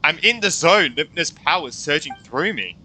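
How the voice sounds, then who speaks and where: A self-serious anime swordsman, put on by a man, recites battle lines with theatrical weight. The voice is highish and bright, stretched out mock-heroically, with faint game music behind. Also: lively intonation, moderate pace, full character voice. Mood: power-drunk.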